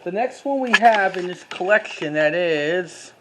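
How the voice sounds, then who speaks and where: Collector showing off items, casual talk, mid pitch, keeps stopping and correcting themself. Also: lively intonation, background noise. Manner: halting, with repeats and self-corrections. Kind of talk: casual show-and-tell commentary.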